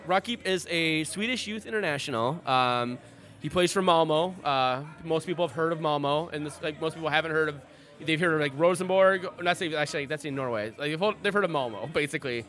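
A man's voice: fairly high pitch, a little fast, a bit bright. A man co-hosting a sports podcast, rambling casually with lots of fillers, restarts and swearing. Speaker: podcast co-host.

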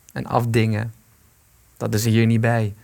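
Bitter, creaky-voiced man in hiding. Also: medium pitch, completely flat delivery, slow, a little mellow. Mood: indignant. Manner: sarcastic, agitated. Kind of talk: Dutch radio play acting.

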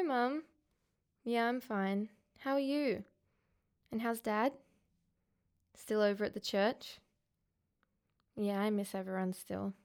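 An actress playing an earnest, caring school counsellor in a radio drama, talking in a gentle, confiding way as if on a phone call. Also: female voice, fairly high pitch.

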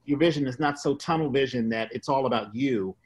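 A man's voice: mid-range voice, a little fast, slightly rough, highs cut off. A man hosting a casual interview, steering the chat and adding his own thoughts. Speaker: podcast host.

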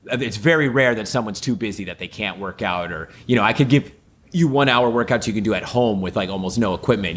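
A man's voice: low-pitched, a bit bright, slight background noise. A man co-hosting a podcast in casual chat, upbeat and rambling with lots of fillers, his voice still a little off after a sore throat. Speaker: podcast co-host.

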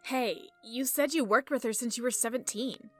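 A woman playing a proud, quick-tempered but kind young biologist in an audio drama. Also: fairly high pitch, faint music behind, close to an everyday voice. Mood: warm, friendly.

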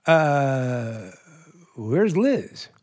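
A man's voice: medium pitch, sing-song, extremely slow, full character voice. A man playing a hesitant, polite family friend in 1940s radio comedy style. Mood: polite, puzzled.

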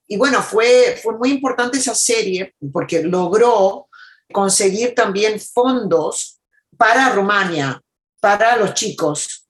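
A woman speaks as an interview guest, a journalist looking back on her work. Her delivery is earnest and reflective, with a mid-range voice. She talks in an unscripted, conversational way. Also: medium pitch. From United States, Argentine family.

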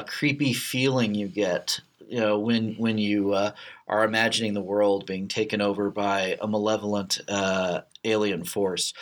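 A middle-aged American man co-hosting a podcast, telling stories casually in an animated, rambling way. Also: lowish voice. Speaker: podcast co-host. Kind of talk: casual chat. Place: podcast studio.

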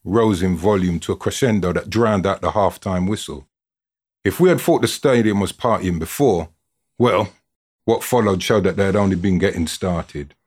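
Husky, low-voiced man reading a story. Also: normal speed. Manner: expressive, dramatic delivery with built-up lists. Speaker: reader, narrator of a written football story. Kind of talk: scripted narration, storytelling reading.

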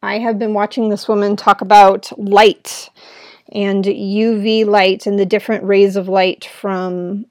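A woman hosting her own podcast, talking in an earnest, rambling way with a gravelly, smoke-irritated throat. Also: mid-range voice, flat delivery, unhurried, no echo. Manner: earnest, rambling, with frequent "right?". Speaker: podcast host. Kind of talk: reflective solo podcast talk. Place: at home indoors.

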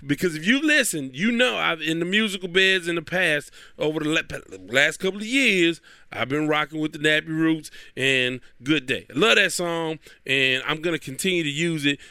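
A man's voice: on the high side, normal speed, few pauses, bright, forceful tone, clear, resonant tone, dry recording, clean studio sound. A man hosting his own podcast in casual, rambling talk, nasal and stuffy from a cold. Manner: rambling, chatty, repeats himself. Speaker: podcast host.

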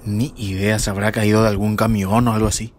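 A man's voice: on the low side, flat delivery, brisk, lightly acted. A man playing a laid-back, dismissive young university student in an audio drama, speaking casually with a Paraguayan accent.

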